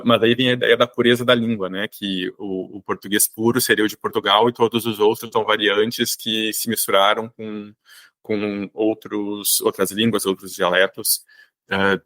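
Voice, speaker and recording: A Brazilian man hosting an interview, speaking in a thoughtful, measured way with a few hesitations. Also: fairly low pitch, a bit bright, no room sound. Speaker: podcast host. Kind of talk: conversational interview.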